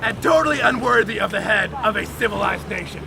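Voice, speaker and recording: A man giving a loud, dramatic, emphatic reading of a historical document outdoors, with deliberate phrasing. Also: high voice, lively intonation, vocal fry. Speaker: reader at an outdoor public reading. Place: outdoors on a lakeside beach. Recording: outdoor crowd and motorboat noise.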